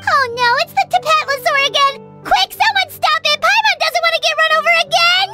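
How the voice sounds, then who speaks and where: A woman voicing a small, excitable fairy-like girl companion with a squeaky, exclamatory delivery in a video game. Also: a little fast, faint music behind. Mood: alarmed. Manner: refers to self in third person, squeaky and exclamatory.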